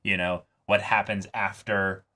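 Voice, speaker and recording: Male reaction co-host, lowish voice, animated and rambling, casual fan chat with bits of imagined dialogue. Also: unhurried. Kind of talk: casual excited chat about an anime episode.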